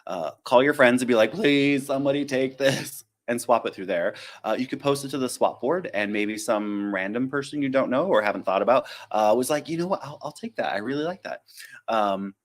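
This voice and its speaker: A man's voice: mid-range voice, expressive, brisk. A man, a flight attendant hosting a casual live-stream Q&A, chatty and self-deprecating, drifting into tangents and acting out little quotes.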